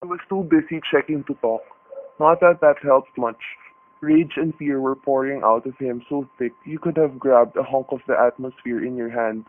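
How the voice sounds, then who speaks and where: Actor as a tense husband narrating, tinny radio. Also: male voice, mid-range voice, fairly even intonation, moderate pace, lightly acted. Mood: tense, brooding. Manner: colloquial, vivid storytelling. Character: tense, folksy husband narrating his own hostage ordeal. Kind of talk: first-person radio thriller narration. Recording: tinny, noisy narrow-band radio recording.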